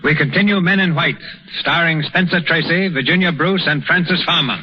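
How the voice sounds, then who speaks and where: A man hosting and narrating a 1930s radio drama with formal, measured scripted delivery. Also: a little high, slight background noise. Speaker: radio show host and narrator. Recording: muffled 1930s radio broadcast over music. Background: music.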